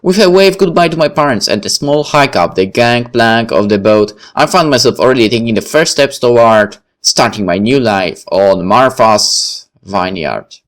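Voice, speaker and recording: A man's voice: mid-range voice, lively intonation, normal speed, hardly any pauses. A man reading a story game aloud and commenting on it as he plays. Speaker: gamer reading a visual novel aloud and commenting. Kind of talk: dramatic read-aloud of first-person fiction with casual asides.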